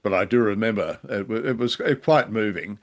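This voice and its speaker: A man co-hosting a podcast, discussing and reviewing in a genial, halting, hesitant way. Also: on the low side. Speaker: podcast co-host.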